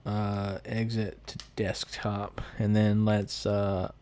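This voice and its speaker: A man's voice: on the low side, monotone, slow pace, darkish tone. A man with a Southern American accent chatting informally and jokingly on a live stream as he answers comments. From United States.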